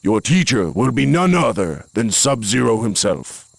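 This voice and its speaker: A stern warrior temple guard from a video game, with a gravelly, creaky voice. He declaims proudly and formally with wide swings of pitch, recorded very dry. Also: big pitch swings, unhurried, no room sound, exaggerated voice. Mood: impressed. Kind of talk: video game cutscene voice acting.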